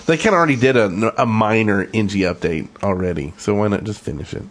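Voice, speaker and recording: Male co-host with a slightly low voice, conversational, for informal gaming discussion. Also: fast. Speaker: podcast co-host. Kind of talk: casual gaming podcast chat.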